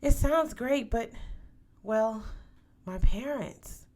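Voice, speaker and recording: A woman's voice: fairly high pitch, expressive, very slow, a little mellow, pitched above the performer's own voice. A woman reading the part of a modest, polite teenage schoolgirl who answers hesitantly in a story. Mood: surprised, hesitant. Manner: short, hesitant, polite replies.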